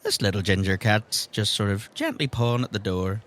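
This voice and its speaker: A man's voice: lowish voice, moderate pace, a bit bright, a little hiss or hum. A man narrating an improvised tabletop game as game master, conversational and wry.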